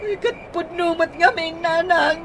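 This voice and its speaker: A woman's voice: very high-pitched, fairly even intonation, moderate pace, crowd noise. An actress with a Filipino Ilocano accent playing a plaintive, impoverished widowed mother of several children in a radio drama. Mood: wailing grief. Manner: plaintive, wailing delivery.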